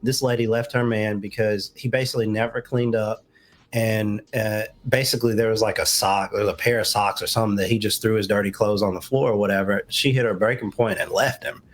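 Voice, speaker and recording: A man's voice: lowish voice, fairly even intonation, a little fast. A man speaking as a talk show guest on relationships, explaining his views in an informal, conversational way with asides.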